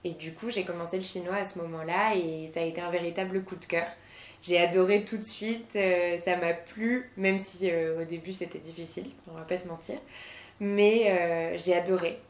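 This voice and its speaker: A woman's voice: fairly low pitch, fairly even intonation, moderate pace, reverberant. A woman in her twenties from southern France, answering interview questions as a guest with many hesitations. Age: 20s.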